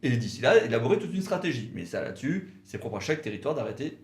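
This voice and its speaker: A man explains legal points step by step as a co-presenter. His intonation moves up and down in a lively way, with an instructive delivery. The room adds an audible echo. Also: mid-range voice, quick pace. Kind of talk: explanatory legal video talk, semi-scripted. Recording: roomy, echoey room sound.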